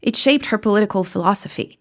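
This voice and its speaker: A woman journalist hosting a documentary podcast, in measured and reflective scripted narration that poses questions to the listener. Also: medium pitch. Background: music bed.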